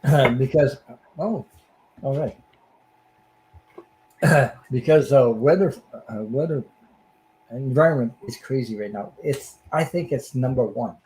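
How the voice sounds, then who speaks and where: A man at a middle pitch reads tarot cards in a relaxed chat. His voice is hoarse and fading, and he pauses often to search for the right words. A faint hum of room noise sits under him. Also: rough recording. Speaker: tarot reader guest. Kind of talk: casual tarot reading chat.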